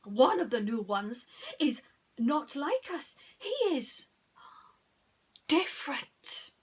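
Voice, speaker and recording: A little green toy alien from a bedtime story, played by a woman, full of awe and easily startled. The voice is high and swoops widely, stretching words out very slowly and solemnly. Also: big pitch swings, husky, full character voice. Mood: shocked.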